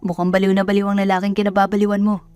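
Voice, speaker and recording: A woman's voice: mid-range voice, completely flat delivery, racing, darkish tone, dry recording, soft background music, close to an everyday voice. A woman voicing a blunt, sensible friend in an audio drama, matter-of-fact and admonishing. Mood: cautioning.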